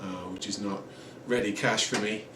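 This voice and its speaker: A man in his forties giving a relaxed home guitar review, rambling with many ums and uhs. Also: lowish voice, moderate pace. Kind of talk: casual product review. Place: small room at home, indoors. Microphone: camera mic at a distance. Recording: roomy, distant camera audio with noise. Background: acoustic guitar playing.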